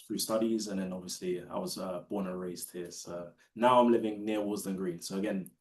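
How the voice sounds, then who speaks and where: A young man from London, a calisthenics coach, talking in a laid-back way with many pauses. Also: sits low, fairly even intonation, a little mellow. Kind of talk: relaxed interview talk.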